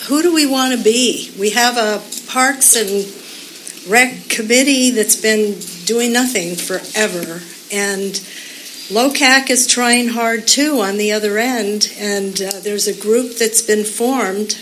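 A woman speaks at a community meeting in an earnest, rambling way, often pausing on fillers as she makes her case. Her pitch is moderate, and a light hiss runs under her voice. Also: fairly bright tone, poor audio. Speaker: public commenter. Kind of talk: public comment at a community meeting.

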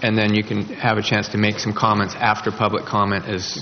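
Flat, low-voiced man chairing, noisy recording. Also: fairly quick. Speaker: meeting chair. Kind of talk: informal procedural talk at a public meeting. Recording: muffled, noisy meeting recording.

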